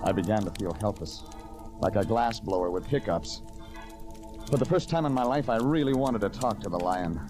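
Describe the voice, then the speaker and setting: An actor playing a tough, cynical private investigator in a 1940s radio drama, clipped and deadpan. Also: male voice, fairly low pitch, normal speed, loud music behind the voice, close to an everyday voice. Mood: deadpan narration. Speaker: detective and first-person narrator. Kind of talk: 1940s radio acting, hardboiled detective drama. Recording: noisy old radio recording.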